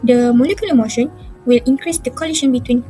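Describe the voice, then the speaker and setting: A woman's voice: a little high, quick pace, warm tone, soft background music. A young woman reading a scripted class presentation as a student.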